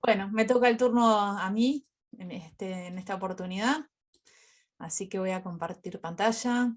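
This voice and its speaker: A woman's voice: mid-range voice, moderate pace. A woman informally moderating a session over a video call. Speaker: session coordinator and presenter.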